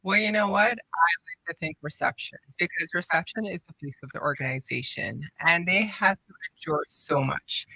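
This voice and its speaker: A woman's voice: deep voice, bright, forceful tone, no echo, rough recording. A young woman from Guyana, raised in the Cayman Islands, answering radio interview questions earnestly and upbeat. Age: young adult. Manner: earnest, upbeat, explains at length. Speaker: hospital operations manager, guest. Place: radio studio.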